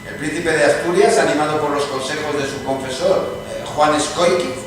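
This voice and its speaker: A man's voice: a little high, normal speed, natural voice. A man playing an opinionated Spanish political commentator in a dramatized reading, formal and scathing. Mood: expository. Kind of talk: dramatized radio theatre reading. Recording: distant, echoey, noisy room.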